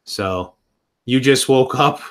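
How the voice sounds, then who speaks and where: A man in his thirties streaming from home in relaxed, loose chat with viewers. He rambles along, trailing off and restarting sentences as he goes. His pitch sits in an ordinary middle range. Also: takes it slowly. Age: 30s. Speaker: live streamer. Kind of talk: casual live-stream chat.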